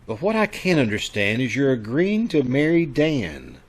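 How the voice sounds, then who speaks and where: An actor playing a concerned, disapproving doctor in an old-time radio drama, speaking earnestly and haltingly. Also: male voice, mid-range voice, huge pitch swings. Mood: concerned, probing.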